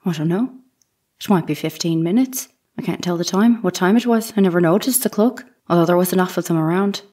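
An actress playing a young housemaid giving testimony in an audio drama, answering in plain, brief, deferential replies. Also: female voice, fairly low pitch, fairly quick, darkish tone, natural voice. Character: young Irish housemaid on the witness stand.

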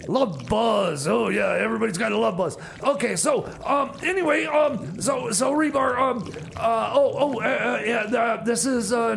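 A man's voice: very high voice, vocal fry, a bit bright, full character voice. A man playing a flustered, long-winded radio DJ in comedy radio theater, stammering and repeating words. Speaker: radio DJ and show host.

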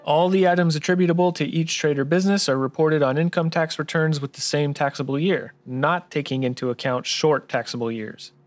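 A man's voice: on the high side, a little fast, few pauses, faint music behind, lightly acted. A man playing an earnest, polite tax expert in a scripted noir radio drama, speaking precisely and courteously. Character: earnest by-the-book male tax expert, polite detective type.

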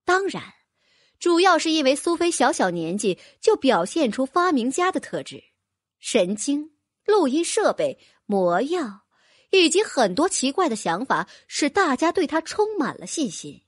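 A woman with a high, bouncy voice narrating a fantasy novel. Her reading swings widely in pitch, recorded close on a dry mic. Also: unhurried, no echo. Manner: lively, expressive reading. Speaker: audiobook narrator. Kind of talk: scripted narration.

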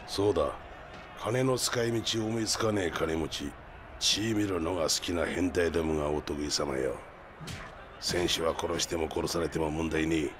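An actor playing a shrewd older underworld information broker in a game cutscene, with sly, rough, expansive gangster talk. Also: male voice, rumbling low, moderate pace, noisy background. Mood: darkly matter-of-fact. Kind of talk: video game cutscene acting. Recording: music behind the voice.

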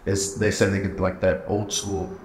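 Low-voiced thirties gym owner from Texas, halting casual answers, roomy gym sound. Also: male voice, flat delivery, darkish tone, slight background noise. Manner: plain-spoken, halting with many uh fillers. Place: large indoor gym. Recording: echoey gym room.

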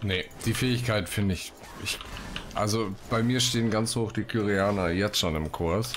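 A man's voice: fairly low pitch, normal speed, noisy background. A man commentating casually on his gameplay as the host of a gaming video. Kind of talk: casual let's play commentary, reading quests aloud. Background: game music.

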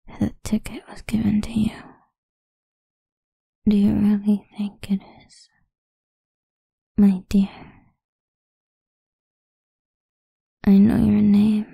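A woman playing a possessive, seductive goth vampire idol in an intimate ASMR roleplay, breathy and husky, often whispering, slow and teasing, close on the mic. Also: mid-range voice, mellow, no room sound, heavily acted. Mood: possessive, hungry. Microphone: close studio-style microphone, dry.

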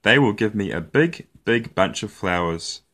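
A man with a fairly low voice reads a storybook to little kids. He takes his time over each short phrase, and his pitch moves around in a lively, playful way. The delivery is that of an animated storyteller. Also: a little slow. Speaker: storyteller reading children's picture books. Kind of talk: animated read-aloud storytelling for young children.

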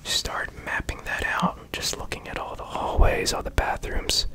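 A man guiding a meditation in ASMR style, in a soft breathy whisper with gentle, soothing instruction, close to the microphone. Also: moderate pace. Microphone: close studio microphone.

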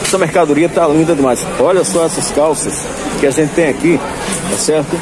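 A man filming a sales vlog through a busy clothing market, pitching goods in upbeat patter and repeating prices. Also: brisk, over loud music. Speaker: clothing wholesale vlogger. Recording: noisy crowded market. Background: crowd chatter.

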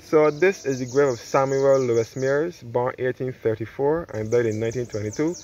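A man's voice: mid-range voice, normal speed, a little mellow. A man narrating an informal walking tour outdoors, often repeating and restating his phrases as he explains. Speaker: travel vlogger giving a walking tour. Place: outdoors in a churchyard on a cliff. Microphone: handheld phone camera, held by the speaker. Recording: dull lo-fi outdoor phone audio, background noise.

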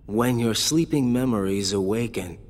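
A man's voice: lowish voice, moderate pace, close to an everyday voice. A man voicing a smug, cryptic villain who taunts and speaks in riddles. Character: smug, cryptic adult man of a dark order. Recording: loud game music behind.

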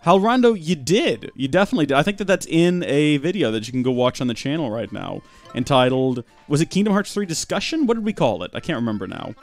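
A young man giving rambling, deadpan joking commentary while streaming a video game live. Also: fairly high pitch, quick pace, soft background music.

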